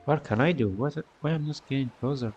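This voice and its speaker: A man's voice: mid-range voice, fairly quick, darkish tone, slightly rough, lo-fi sound. A man giving casual gameplay commentary, reading bits aloud and muttering puzzled asides to himself, often repeating phrases. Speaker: game streamer. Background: game music.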